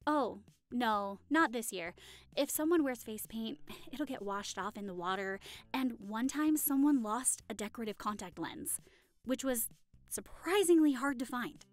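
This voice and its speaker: Woman acting as chatty gushing party hostess, high voice, really animated, audio drama. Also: a little slow, no room sound. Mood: cheerful. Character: warm young woman hosting a Halloween costume party.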